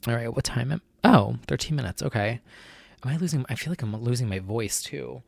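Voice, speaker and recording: A man's voice: mid-range voice, animated, a little fast, vocal fry, a little mellow, no echo, studio quality. A young man hosting his own podcast, talking in a casual, anxious, rambling way full of fillers and restarts. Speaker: podcast host. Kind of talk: casual solo chat.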